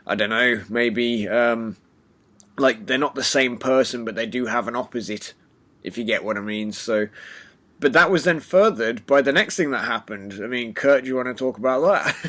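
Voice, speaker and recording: A hoarse man hosting a casual review chat, keeping his voice low to spare it. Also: moderate pace. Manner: talking quietly to spare his voice. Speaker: host.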